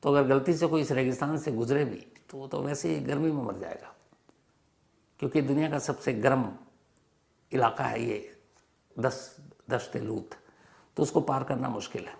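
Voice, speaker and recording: A man hosting a storytelling explainer, speaking in a dramatic, emphatic way and repeating phrases for effect, with a gravelly voice. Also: medium pitch, moderate pace, pauses often, a little mellow. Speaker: crime and history show host.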